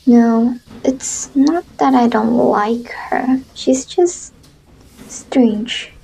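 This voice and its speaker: Woman playing a creaky-voiced, dismissive schoolgirl. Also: fairly high pitch, drawn-out words, warm tone, a little hiss or hum, heavily acted, low-quality recording. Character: young female student, judgmental and reserved. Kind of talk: amateur voiced roleplay acting.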